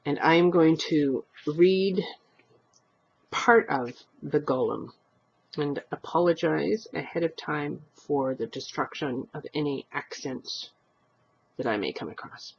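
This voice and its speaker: A woman narrates a short story at an unhurried pace. Her voice is husky and moves expressively up and down. The recording is a little dull and lo-fi. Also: low voice. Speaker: amateur story reader.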